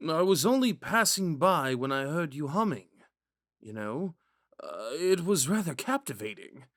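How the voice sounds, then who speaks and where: Casting: male actor as young romantic prince, gentle and courteous, slow musing delivery with lively pitch swings, intimate dry sound. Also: no echo. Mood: apologetic, reassuring. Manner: musing, hesitant, courteous, with sighs and whispered asides. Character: young prince, third in line, wistful, gentle, smitten romantic. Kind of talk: romantic audio roleplay with inner monologue.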